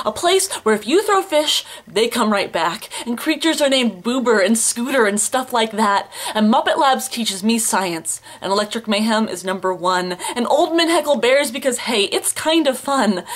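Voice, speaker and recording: A woman's voice: a little high, a bit bright. A woman in her twenties performing slam poetry with an earnest, emphatic, theatrical delivery in rhythmic rhyme. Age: 20s. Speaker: slam poet.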